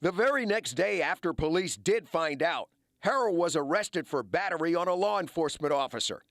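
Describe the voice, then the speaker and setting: A man's voice: high-pitched, a bit bright, dry recording, clean studio sound. A man with an American accent reporting in a broadcast news style as a television investigative reporter.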